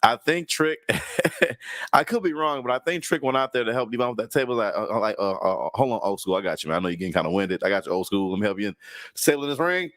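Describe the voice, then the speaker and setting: A man's voice: on the low side, lively intonation, quick pace, a bit bright, no echo, clean studio sound. A man co-hosting a casual wrestling review, with a stuffy nose and a rambling way of talking full of likes and restarts. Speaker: co-host. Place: home in Houston.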